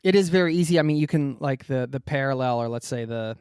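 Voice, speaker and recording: A man's voice: mid-range voice, quick pace, a little mellow, clean tone, no room sound, clean studio sound. A man in his forties from Pennsylvania, hosting a podcast and asking long, rambling questions while he searches for words. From Pennsylvania, United States. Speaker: podcast host. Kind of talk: casual interview chat.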